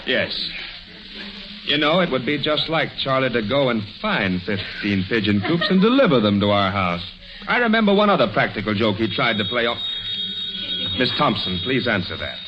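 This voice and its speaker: Through heavy noise on a dull old radio recording comes a blustery middle-aged American lawyer, played by a male actor. His pitch leaps about as he gets flustered and exasperated, in the manner of 1940s radio comedy. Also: sing-song, normal speed, close to an everyday voice. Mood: sarcastic, irritated.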